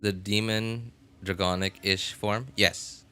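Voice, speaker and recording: Low, bright-voiced man streaming games. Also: fairly even intonation, slow, clear, resonant tone. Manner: addresses chat with repeated questions. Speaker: livestream host. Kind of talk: casual gaming stream chat.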